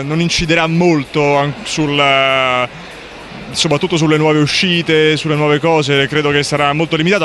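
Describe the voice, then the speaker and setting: A man's voice: a little high, bright, forceful tone, a little hiss or hum. A man in his thirties making a critical argument in an interview at a busy indoor fair, with frequent fillers and restarts. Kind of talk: interview answers. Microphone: handheld reporter mic.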